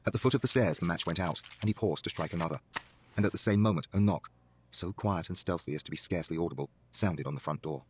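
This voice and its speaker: A man's voice: sits low, fast, a little mellow, a little hiss or hum. A man giving a dramatic scripted narration of a horror story.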